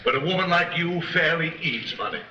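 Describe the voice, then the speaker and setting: An actor playing an anxious, guilt-ridden middle-aged bank president in an early 1930s film, stagy and pleading. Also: male voice, fairly high pitch, lively intonation, brisk, recorded from a distance, background noise, close to an everyday voice. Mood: guilty, pleading. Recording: dull, echoey 1930s film soundtrack.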